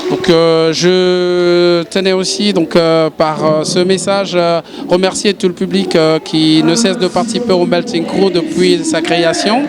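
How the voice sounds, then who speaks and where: A man giving a formal, halting spoken appeal as a charity president. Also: sits high, level tone, noisy background. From Congo. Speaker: charity president, former footballer. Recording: loud music behind voice. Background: loud music.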